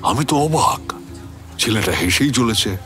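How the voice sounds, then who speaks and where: A man playing a puzzled, suspicious narrator of a radio story, conversational and expressive, with a West Bengal Bengali accent. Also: medium pitch, a little slow, soft background music. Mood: puzzled, wry. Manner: conversational, expressive, self-questioning. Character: puzzled, suspicious man recounting a baffling encounter. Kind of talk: dramatized first-person storytelling for radio.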